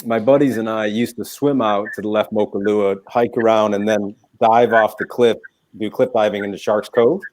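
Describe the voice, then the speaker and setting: A man's voice: fairly low pitch, fairly even intonation, moderate pace, darkish tone. Enthusiastic male attorney guest. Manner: enthusiastic, storytelling. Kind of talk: casual radio chat.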